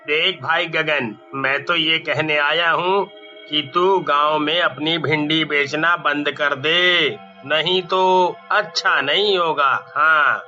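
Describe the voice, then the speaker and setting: A man's voice: fairly high pitch, steady tone, takes it slowly, bright, forceful tone, highs cut off, faint music behind, full character voice. A man voicing a jealous, threatening village farmer in a cartoon story, drawling with menace.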